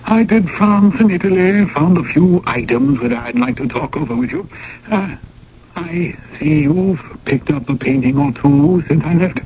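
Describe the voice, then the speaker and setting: A man's voice: high voice, animated, a little slow, vocal fry, full character voice. A man playing a genial, urbane museum chairman in a radio drama, cordial and businesslike. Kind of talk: 1980 radio drama acting. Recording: dull, faintly noisy old radio broadcast.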